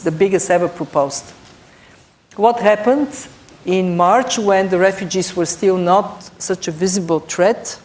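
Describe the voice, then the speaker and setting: A middle-aged woman from Bulgaria, speaking English with a Bulgarian accent. She is a panelist making deliberate points and stressing key words. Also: mid-range voice, darkish tone. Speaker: panelist, European budget official. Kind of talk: panel discussion remarks.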